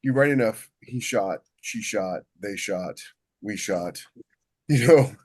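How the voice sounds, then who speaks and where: Guy w a lowish voice chatting on a writing podcast, keeps restarting sentences as he thinks out loud. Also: animated. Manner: halting, thinks aloud with many repeated false starts. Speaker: podcast co-host and science fiction author. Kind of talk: casual chat about book writing.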